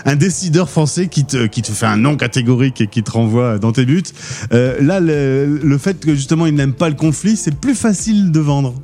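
A man hosts a podcast interview, putting his questions in a friendly, easygoing conversational way. His voice is mid-pitched and mellow, with faint music in the background. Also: lively intonation, fairly quick. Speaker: podcast host.

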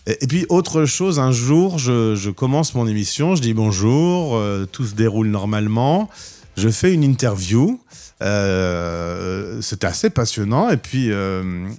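Enthusiastic low-voiced male radio host. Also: lively intonation, unhurried, mellow. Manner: enthusiastic, stammering restarts. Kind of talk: casual interview chat. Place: radio studio.

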